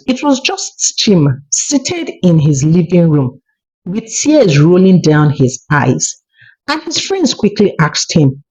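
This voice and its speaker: A woman with a Nigerian accent narrating a folktale in an expressive, emphatic storytelling manner. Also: low-pitched, slightly rough. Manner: expressive, emphatic storytelling with repeated words for effect. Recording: dull, lo-fi voice recording.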